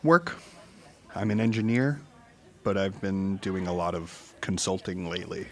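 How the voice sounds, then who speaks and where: An actor playing an affable, slightly awkward visiting engineer, chatting casually in an audio drama. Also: male voice, low voice, lively intonation, unhurried, a little mellow. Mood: friendly, casual. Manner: casual, agreeable, hesitant. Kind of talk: naturalistic audio drama dialogue.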